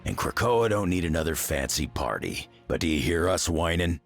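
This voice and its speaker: A rugged game brawler in an extremely low, gravelly register. His delivery is blunt and dry, with lively dips and rises, over faint music. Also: moderate pace. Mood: gruff, wry. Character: gruff, rugged mutant brawler, wry and blunt.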